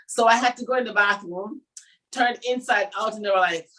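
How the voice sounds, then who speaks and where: A woman's voice: mid-range voice, fairly quick, rough recording. A middle-aged woman from Jamaica, a fashion designer, telling her story in an interview and acting out the people she quotes. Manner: animated storyteller who acts out quotes.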